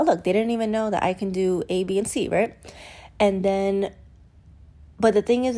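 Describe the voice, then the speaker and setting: A woman's voice: mid-range voice, a little mellow. A woman hosting a solo podcast in a casual, candid way. She is a little congested and sniffly and often acts out what people say. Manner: chatty, candid, acts out quoted voices. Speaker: podcast host.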